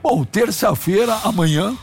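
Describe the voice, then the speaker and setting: High-swinging, boisterous Brazilian sports host. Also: male voice, lively intonation, measured pace, gravelly, slightly rough, faint music behind. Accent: Brazilian Portuguese. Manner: boisterous, teasing, slangy. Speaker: host of a football talk show. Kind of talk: lively sports banter.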